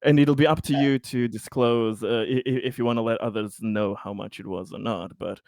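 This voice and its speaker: A man chatting at a tabletop game, explaining things with an animated, often laughing manner. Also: medium pitch, a little fast, dry recording, studio quality. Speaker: player. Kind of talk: casual game table chat.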